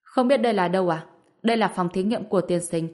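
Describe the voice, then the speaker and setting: A woman voicing a self-important male guard on duty, calm, curt and officious, in an audiobook. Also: mid-range voice, fast, lightly acted. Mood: stern, haughty.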